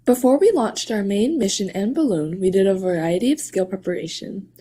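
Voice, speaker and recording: A woman's voice: medium pitch, brisk, dark tone, slight background noise. A teenage girl student reading an explanatory, listing part of a science presentation. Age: teens.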